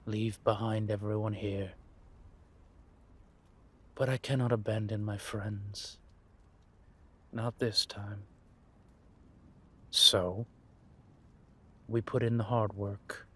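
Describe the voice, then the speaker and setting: A man playing a world-weary, wry and menacing vampire intelligence operative in an audio roleplay, confiding in a measured, drawn-out way. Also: fairly low pitch. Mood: weary, brooding. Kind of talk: roleplay audio drama monologue addressed to a listener.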